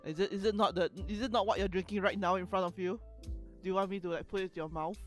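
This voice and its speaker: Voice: medium pitch, fairly even intonation, fast. A person bantering casually on a livestream, teasing and giggly, often repeating words. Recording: soft music playing behind the voice. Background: soft lofi music.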